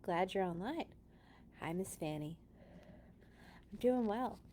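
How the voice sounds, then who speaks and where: A woman's voice: medium pitch, animated, takes it slowly, dark tone, a little hiss or hum. A woman with a mid-range voice reads aloud for children as a storytime host. Her delivery is casual and chatty, with informal asides to whoever is listening.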